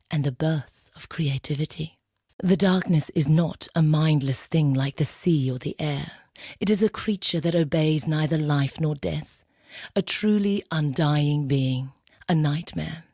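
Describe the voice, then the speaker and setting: A woman's voice: no echo, clean studio sound, heavily acted. A woman voicing a serene, morbid necromancer in a video game, speaking in a formal, theatrical way with reverence for death. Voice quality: low, dark, breathy, often sinking to a whisper. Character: serene, morbid female necromancer and self-styled death artist. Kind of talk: video game character monologue.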